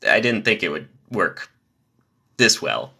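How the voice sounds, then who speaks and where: A young man reading joke after joke on a live stream, pitching his voice low from the diaphragm to spare his nasal voice. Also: vocal fry, noisy background. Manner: rapid-fire joke delivery, lively.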